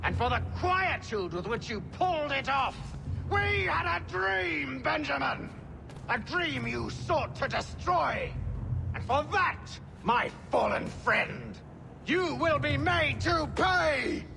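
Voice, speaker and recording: Game villain, eloquent older gentleman: sardonic, vengeful, gravelly, sweeping intonation, noisy backdrop. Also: very high-pitched, lively intonation, slow, heavily acted. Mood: vengeful, bitter. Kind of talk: video game cutscene acting.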